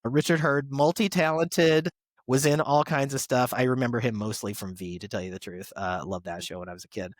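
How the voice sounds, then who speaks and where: A man's voice: medium pitch, steady tone, fairly quick, no echo, studio quality. A man hosting a podcast, reading prepared tributes in an announcer-like way with an enthusiastic fan's touch. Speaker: podcast host. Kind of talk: reading birthday tributes to actors, semi-scripted podcast segment.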